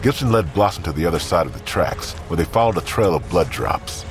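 A man's voice: low-pitched, animated, a little fast, over music. A man reading scripted narration for an audio drama.

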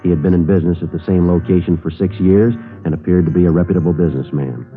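Male actor as police sergeant narrator, 1950s radio. Low-pitched, fast, matter-of-fact, deadpan; phone-like old broadcast sound. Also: faint music behind, natural voice. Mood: deadpan, factual.

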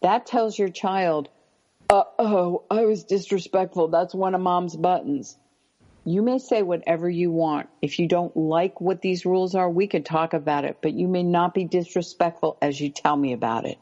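Brief: middle-aged woman, low calm voice, deliberate advice, dull lo-fi sound. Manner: calm, deliberate, slows words for emphasis. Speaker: parenting expert guest. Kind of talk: advice-giving interview talk.

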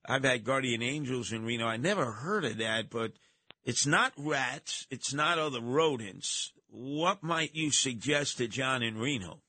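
Bright, forceful New York radio host. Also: male voice, medium pitch, lively intonation, unhurried, no room sound. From New York City. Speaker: radio talk show host. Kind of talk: live call-in talk radio.

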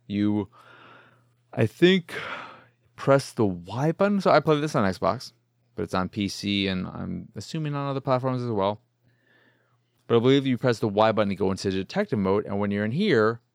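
A man with a fairly low voice and plenty of fry talks through game reviews as a podcast host. He is casual and rambling, doubling up words for emphasis. The recording is close and very dry. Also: dry recording. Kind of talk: casual solo review talk.

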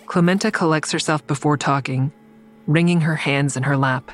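A woman's voice: low-pitched, fairly even intonation, normal speed, over music, lightly acted. An actress playing a weary, guarded woman who narrates her own story in an audio drama, tired and introspective. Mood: weary, uneasy. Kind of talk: first-person audio drama narration and dialogue.

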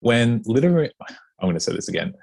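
A man hosting a casual interview, chatty and relaxed, with lots of fillers. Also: medium pitch, fast, vocal fry, slightly rough. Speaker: podcast host interviewing an author.

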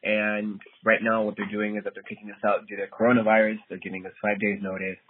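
Young guy from miami calling in, low husky voice, tinny dry phone line. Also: completely flat delivery, quick pace. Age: young adult. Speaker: caller. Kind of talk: phone call-in.

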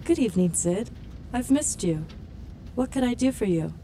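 An actress playing a polite female AI digital assistant in audio fiction, speaking in a smooth, formal, helpful way. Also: a little high, lively intonation, moderate pace, a little hiss or hum. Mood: polite, welcoming. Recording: music behind the voice.

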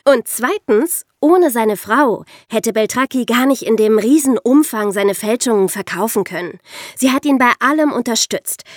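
A woman's voice: fairly high pitch, lively intonation, moderate pace, no echo, clean studio sound. A woman hosting a podcast, explaining things in a storytelling way with rhetorical questions. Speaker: podcast host.

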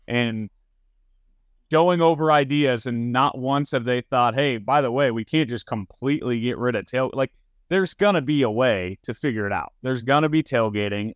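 A man's voice: mid-range voice, smooth, dry recording, studio quality. A man hosting a casual sports talk show, conversational and emphatic with his opinions. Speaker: sports podcast host.

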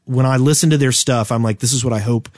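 A man's voice: mid-range voice, fairly even intonation, fast talker, a little mellow, no room sound, clean studio sound. A man hosting a podcast, chatting casually about gaming and telling stories with quoted back-and-forth. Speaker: podcast host.

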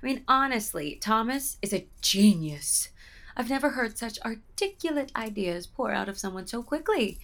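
An actress playing a gushing, eager theater collaborator in an audio comedy, effusive and emphatic. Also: female voice, fairly high pitch, animated, moderate pace, a bit bright. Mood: gushing admiration. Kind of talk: audio comedy drama acting.

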